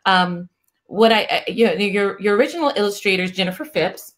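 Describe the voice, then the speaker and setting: A middle-aged woman hosting an interview, talking in a soft, soothing way with many restarts. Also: medium pitch, expressive, fairly quick. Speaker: interview host, psychiatrist. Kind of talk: casual interview chat.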